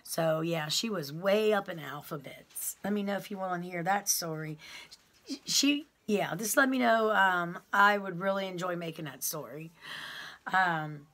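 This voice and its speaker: A middle-aged woman with a loud, carrying voice, telling a personal story to her viewers in a rambling, heartfelt way. She fills her talk with "um" and calls her audience "y'all.". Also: lowish voice, measured pace. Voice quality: loud voice that carries. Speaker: vlogger recounting her time in prison. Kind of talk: casual storytelling to camera.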